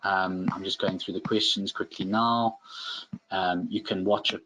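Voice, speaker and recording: Low-voiced South African man presenting. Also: fairly even intonation, a little slow, sharp tone, slight background noise, rough recording. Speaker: webinar presenter, trading instructor. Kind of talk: informal live tutorial.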